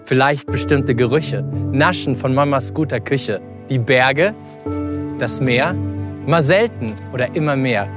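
A man, a parent, slowly reciting rhyming spoken-word poetry. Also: mid-range voice. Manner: slow, rhyming delivery. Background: music.

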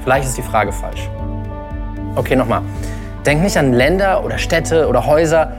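A man, a parent, slowly reciting rhyming spoken-word poetry. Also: medium pitch, animated. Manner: slow, rhyming delivery. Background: music.

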